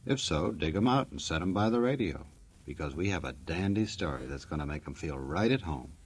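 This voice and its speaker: A man's voice: extremely low pitch, lively intonation, a little fast, breathy edge. An American man working as a 1940s radio announcer, narrating in a folksy, tongue-in-cheek, wisecracking way.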